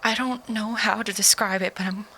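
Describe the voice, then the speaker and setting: Voice actress as scared survivor woman doing an audio log, slow n halting, bright tense voice, bit of noise behind. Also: medium pitch, steady tone, low-quality recording. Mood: shaken, wary. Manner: halting, hesitant, whispery at times. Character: frightened woman survivor recording a log of horrors. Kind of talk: audio drama found-log monologue.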